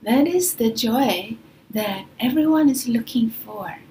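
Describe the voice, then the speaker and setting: Woman, native Swedish speaker, spiritual teacher, unhurried, hushed, faint hiss behind. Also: a little high, animated. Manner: slow, soft, often near a whisper. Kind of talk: spiritual talk. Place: traveling, away from her studio.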